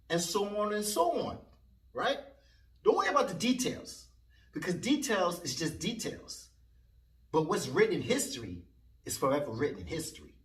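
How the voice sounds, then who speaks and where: A man's voice: on the high side, recorded from a distance. A man in his forties, casually rambling to his viewers as host of his own channel. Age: 40s. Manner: rambling, animated, talks straight to viewers. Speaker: vlog host. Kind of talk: casual commentary. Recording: echoey room, voice away from mic.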